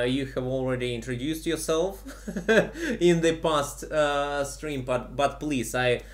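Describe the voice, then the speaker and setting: Male host, slow and hesitant, lots of fillers. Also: mid-range voice. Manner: halting, many fillers, frequent laughs. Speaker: stream host. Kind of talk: casual live-stream chat.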